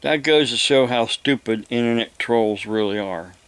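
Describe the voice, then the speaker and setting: An older man with an American accent delivers a rambling monologue, halting and deliberate, often repeating his phrases. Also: mid-range voice, a bit bright, clear, resonant tone, no echo. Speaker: evangelist vlogger.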